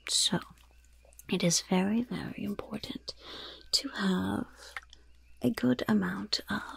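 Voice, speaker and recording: A woman voicing a mischievous goblin shopkeeper in a breathy whisper, with coy, unhurried sales patter in an ASMR roleplay. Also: mid-range voice, a bit bright, heavily acted. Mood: coy, chatty. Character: mischievous female goblin shopkeeper selling poisons and curses.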